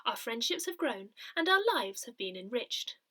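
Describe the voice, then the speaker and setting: A woman reading written articles aloud in a measured, clearly phrased way, as for an audio newsletter. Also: on the high side, sing-song, sharp tone. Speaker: newsletter reader.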